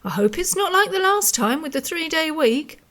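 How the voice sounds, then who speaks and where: A woman's voice: extremely high pitch, very animated, normal speed, fairly bright tone, heavily acted. A woman playing a chatty, gossipy village newsagent in a radio drama.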